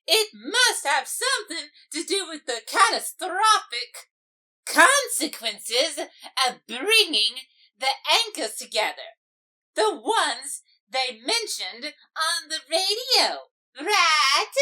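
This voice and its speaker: A woman's voice: sits high, sing-song, slow, pushed and bright, heavily acted. A woman voicing an imperious, self-satisfied undersea ruler in a cartoon comic dub, grandiose and dismissive. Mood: scornful. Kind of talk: animated comic dub villain acting.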